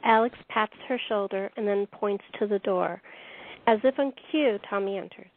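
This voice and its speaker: A woman's voice: mid-range voice, normal speed. A woman narrating a scripted radio play in the present tense as its narrator. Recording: thin, phone-like band-limited audio.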